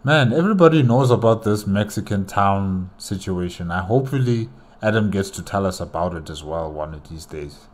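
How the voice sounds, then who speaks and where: Low-voiced man in casual reaction commentary. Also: lively intonation, moderate pace, few pauses. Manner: rambling, repeats "you know", thinking aloud. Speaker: reactor commenting on a video game movie.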